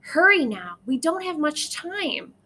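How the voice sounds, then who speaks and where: A woman voicing a steady, reassuring old farm grandmother from a storybook, with an encouraging, emphatic delivery. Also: high-pitched, takes it slowly, pitched above the performer's own voice, heavily acted. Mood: brisk, urging.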